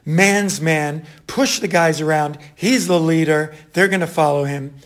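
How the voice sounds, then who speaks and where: A man in his sixties from Boston, with a Boston accent, telling stories from his stage career in a chatty, animated way. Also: on the high side, measured pace. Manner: chatty, animated anecdotes with fillers. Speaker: stage actor and director. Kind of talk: casual interview storytelling.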